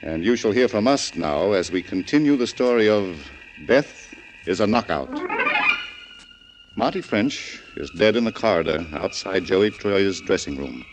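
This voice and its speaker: Low-voiced 1940s radio mystery host. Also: male voice, animated, unhurried, faint music behind. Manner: polite, formal, direct appeal to listeners. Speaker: host, in character as club librarian. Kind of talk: 1940s radio scripted hosting and narration. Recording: muffled 1940s radio recording.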